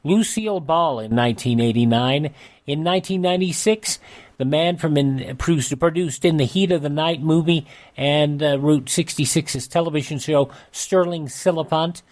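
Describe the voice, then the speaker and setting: A man hosting a radio show, reading out an almanac with casual asides in a halting, conversational way. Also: a little high, darkish tone. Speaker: radio host.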